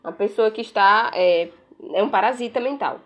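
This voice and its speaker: A woman's voice: medium pitch, normal speed. A woman giving casual political commentary while browsing pages on her screen, sarcastic and rambling, tagging sentences with questions. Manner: frequent "né?" tags, rambling, sarcastic.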